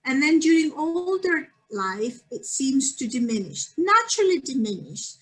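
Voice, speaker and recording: A woman's voice: high voice, big pitch swings, measured pace. A woman with a Greek accent giving an online lecture over a video call, friendly and using many ums and restarts.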